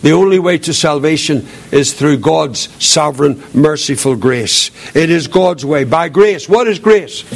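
A man's voice: on the high side, measured pace, gravelly, few pauses. A man delivering an evangelical sermon as a preacher, earnest and solemn, repeating key phrases for emphasis.

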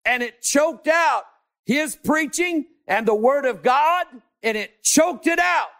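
Male preacher with a high voice. Emphatic, draws out key words, drops to hushed asides. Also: animated, slow pace. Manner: emphatic, swings between shouting and hushed asides. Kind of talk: sermon.

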